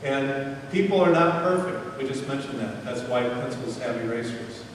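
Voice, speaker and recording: A man's voice: medium pitch, expressive, moderate pace. A man giving a motivational talk to young students, often tossing questions at them and checking in with a "right?". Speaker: speaker addressing students. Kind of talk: motivational talk to eighth graders. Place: large echoey room with an audience. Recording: echoey hall, far from mic, faint noise.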